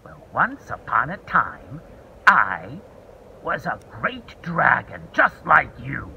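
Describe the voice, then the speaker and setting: A man voicing a gentle, patient little caterpillar telling a cautionary tale. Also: medium pitch, slow, gravelly, lo-fi sound, a little hiss or hum, full character voice. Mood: calm. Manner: calm, patient storytelling.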